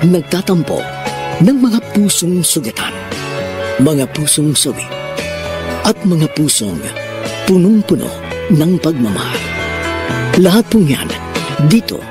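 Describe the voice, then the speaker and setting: A radio drama host giving a formal, scripted introduction in a dramatic, measured manner. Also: mid-range voice, very animated, music in the background.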